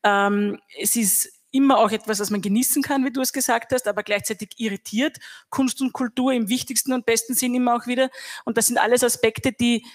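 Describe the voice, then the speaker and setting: A middle-aged Austrian woman speaks on a stage panel, holding a handheld mic. Her pitch stays flat and steady as she thinks aloud in a measured, reflective way. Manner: measured, reflective, even delivery. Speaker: panel guest, academy head. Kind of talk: panel discussion.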